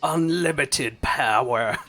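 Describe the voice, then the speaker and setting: A man giving casual, expressive gameplay commentary, talking to himself playfully as he plays. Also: medium pitch, slow pace. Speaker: gaming streamer. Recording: game music behind voice. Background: video game music.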